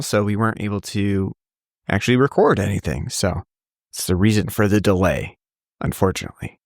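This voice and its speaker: A man's voice: lowish voice, no echo, studio quality. A man hosting a casual podcast, rambling with many fillers and restarts. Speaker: podcast host.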